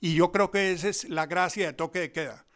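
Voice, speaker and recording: A man with a high, bright, forceful voice. He analyses in a conversational way, pressing each idea and rewording it as he explains. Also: steady tone, brisk.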